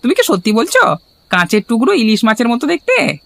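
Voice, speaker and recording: A man's voice: very high-pitched, wildly swooping pitch, brisk, gravelly, full character voice. A man playing an appreciative, inquisitive villager in a scripted cartoon. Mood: amazed, questioning.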